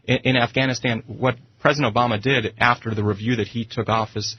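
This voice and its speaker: An American man with a slightly husky voice, debating politics as a radio guest. He is emphatic and doubles back on phrases to drive a point home. The audio is somewhat dull and lo-fi. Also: mid-range voice, fairly even intonation, a little fast, no echo. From United States. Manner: emphatic, repeats phrases as he argues.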